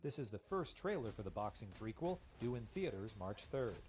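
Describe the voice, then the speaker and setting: A man reporting entertainment news in a scripted, expressive reporter's delivery. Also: mid-range voice, brisk, very noisy.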